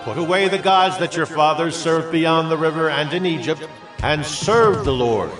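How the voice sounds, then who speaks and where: A man's voice: on the high side, moderate pace, recorded from a distance, close to an everyday voice. Solemn aged leader played by a man, music behind. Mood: fervent exhortation. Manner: solemn, declamatory, emphatic. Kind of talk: dramatized scripture oratory.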